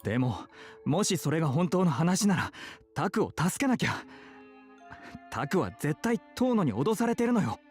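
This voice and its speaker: An actor voicing an effeminate, emotional young man in a Japanese visual novel, with soft feminine phrasing and an emphatic manner. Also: a little high, sing-song, fast, faint music behind. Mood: anxious.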